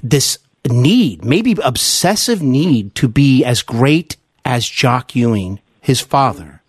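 Animated male podcast interviewer, very dry sound. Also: mid-range voice, slightly rough, no room sound. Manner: deliberate, effusive, builds up to questions. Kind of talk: earnest long-form interview.

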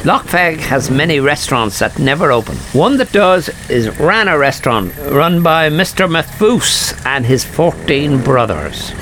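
A man's voice: on the high side, big pitch swings, measured pace, few pauses, background noise. A man as the wry narrator of a comic audio adventure, reading a script.

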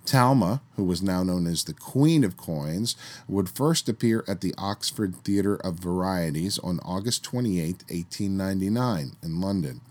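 Man hosting a history podcast, hoarse from a cold. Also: on the low side. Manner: conversational, with fillers and restarts while recounting history. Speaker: podcast host. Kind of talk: solo history podcast narration.

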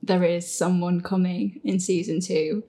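A woman's voice: lowish voice, fairly even intonation, measured pace, mellow. A woman hosting a casual question and answer chat, giggly, rambling and self-deprecating. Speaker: podcast host, creator and voice actor of the show.

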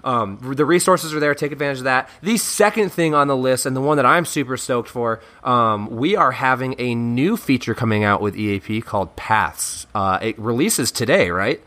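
A man's voice: mid-range voice, normal speed, hardly any pauses, bright, forceful tone. A man hosting a podcast, upbeat and enthusiastic, sounding nasal from bronchitis, over a remote call. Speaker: podcast host. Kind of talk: casual podcast chat. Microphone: remote call mic.

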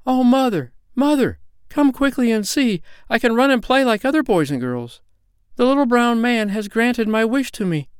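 A man voicing a timid, gentle little girl in a storybook, speaking politely and earnestly. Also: high-pitched, very animated, moderate pace, clear, resonant tone, dry recording, studio quality, pitched above the performer's own voice. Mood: excited.

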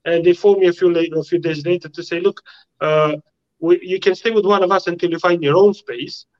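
A man from Romania speaks with a Romanian accent, his voice sitting a touch higher than most men's. He talks in a halting, searching way, often repeating words before a thought lands. The sound is a little dull and lo-fi. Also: steady tone, a little mellow. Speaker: guest. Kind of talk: casual interview conversation.

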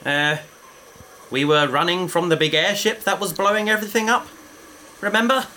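An actor playing a bookish, curious young adventurer who talks eagerly, like a lecturer. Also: male voice, sits high, steady tone, moderate pace, bright, forceful tone, slight background noise. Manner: enthusiastic, lecturing. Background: music.

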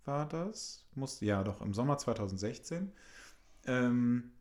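A man's voice: mid-range voice, expressive, slow pace, a little mellow. Man, late thirties, casual chatty storyteller, lots of ums. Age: 30s. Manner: rambling, frequent fillers. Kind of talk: casual chat, anecdote.

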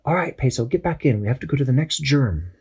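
Storybook captain, calm and commanding, higher than the teller's own voice, put on by a man. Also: fast talker, breathy edge, full character voice. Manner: steady commanding orders. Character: calm brave male submarine captain.

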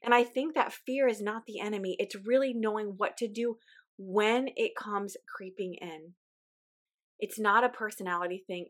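A woman podcast host with a weak, recovering voice, talking in an earnest, encouraging, conversational way. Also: medium pitch. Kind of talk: casual podcast monologue.

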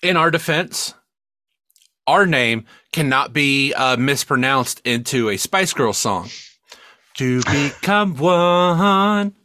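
A man with a bright, forceful voice co-hosts a casual comedy podcast. His pitch swings around freely as he exclaims and swears. The sound is dry and close to the mic. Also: dry recording. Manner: profane, exclamatory. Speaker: podcast co-host.

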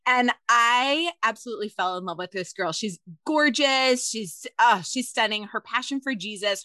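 A woman's voice: medium pitch, pushed and bright, dry recording. Bubbly woman podcast host, dry sound. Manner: chatty, laughing, storytelling with quoted asides. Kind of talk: casual podcast interview.

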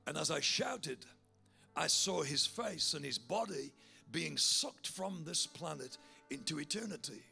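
A preacher man with a big, gravelly voice carries his sermon with a bright, forceful tone. He tells his story with energy, then urges his listeners on with growing fervour. Soft music plays beneath him. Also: on the high side, measured pace. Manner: animated storyteller, building to loud fervent altar call.

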